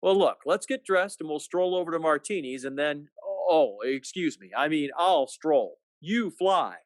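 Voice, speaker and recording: A man's voice: fairly high pitch, lively intonation. A man as a folksy, sardonic troubled family man. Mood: bewildered, sardonic. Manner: halting, folksy, skeptical drawl. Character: despairing, sardonic small-town American family man. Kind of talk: 1940s-style radio play acting.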